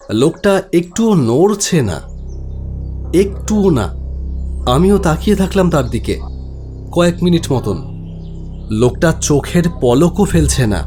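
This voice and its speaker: A man's voice: on the high side, a little mellow. A man giving a dramatic, scripted first-person narration of a horror story. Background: background music.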